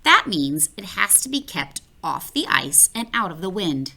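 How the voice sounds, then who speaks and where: A woman reading a picture book aloud for children, playful and expressive, talking straight to the listener. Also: fairly low pitch, a little slow, gravelly. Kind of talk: read-aloud storytelling for children.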